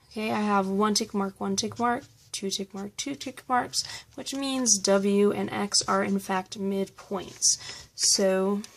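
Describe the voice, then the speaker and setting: Woman math teacher walking thru review problems, kinda hoarse, raspy low fry in her voice. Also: slight background noise. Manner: patient, step-by-step explaining. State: slightly hoarse, losing her voice. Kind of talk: recorded math review lesson.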